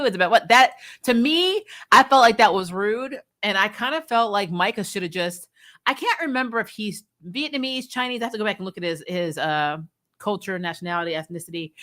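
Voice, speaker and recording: A woman hosting a casual, opinionated video review, talking candidly to viewers and retelling things in an animated, mildly sweary way. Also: medium pitch. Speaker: video host reviewing a TV episode.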